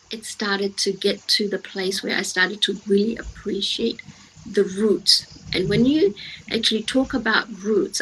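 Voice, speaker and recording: A woman's voice: medium pitch, fairly bright tone. Emphatic female motivational speaker, noisy recording. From grew up in the Western world, of Indian heritage. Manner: emphatic, repeats phrases for effect, rhetorical questions. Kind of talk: motivational talk to young people.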